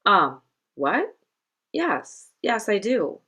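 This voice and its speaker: A woman's voice: medium pitch, big pitch swings, drawn-out words, sharp tone, breathy edge, exaggerated voice. A woman voicing a distracted male fifth-grade teacher, hesitant and flustered, in a storybook.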